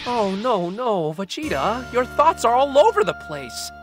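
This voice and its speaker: An actress playing a serene, gently chiding celestial mentor in an anime video game, measured and soothing. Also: female voice, on the high side, big pitch swings. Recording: music behind.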